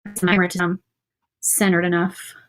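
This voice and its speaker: A woman's voice: fairly low pitch, little pitch movement, slow pace. A woman in her thirties from Virginia with a light Southern accent, chatting casually while painting a craft project and answering viewers. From Virginia, USA. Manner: chatty, friendly, thinks aloud while working. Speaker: craft vlogger. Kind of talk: casual chat while painting, reading live comments. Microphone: phone or camera close by.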